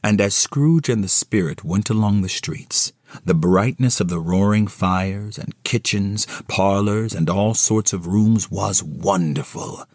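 Low-pitched, slow male storyteller. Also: darkish tone, dry recording, studio quality. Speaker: narrator of an audio play. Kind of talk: scripted dramatic storybook narration.

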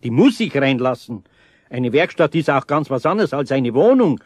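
An actor playing a good-natured, grumbling older craftsman with a Bavarian accent and a husky voice in a children's radio play. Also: mid-range voice, sing-song, moderate pace, a little mellow, dry recording, studio quality. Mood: patient reassurance.

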